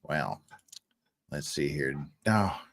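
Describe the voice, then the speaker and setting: A man chats casually as a livestream host. He speaks in a halting, tentative way, as if thinking aloud, and his pitch moves around a great deal. The sound is very dry. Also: lively intonation, gravelly, fairly bright tone, dry recording. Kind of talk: casual chat.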